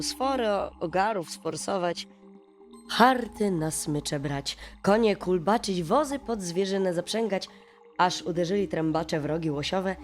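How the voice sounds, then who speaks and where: A woman storyteller reading a bedtime fairy tale in an expressive, dramatic, folksy way. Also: medium pitch. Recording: music behind the voice. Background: music.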